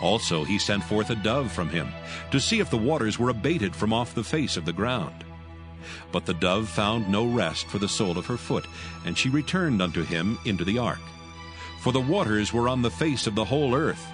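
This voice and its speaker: A man with a fairly low voice gives a solemn, measured reading as a scripture narrator. Music plays clearly behind his scripted narration. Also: expressive.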